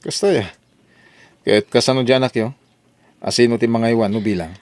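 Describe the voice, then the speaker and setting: A man's voice: medium pitch, fairly even intonation, a little fast, a little mellow, dry recording, natural voice. A man acting as a frank friend and advisor in an Ilocano audio drama. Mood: questioning.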